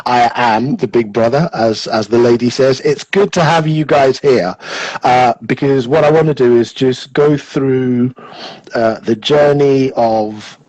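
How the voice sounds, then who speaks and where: A man hosting a casual livestream interview, relaxed and chatty with plenty of fillers. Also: medium pitch, unhurried, no room sound, highs cut off. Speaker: host, interviewer. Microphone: video call or phone mic.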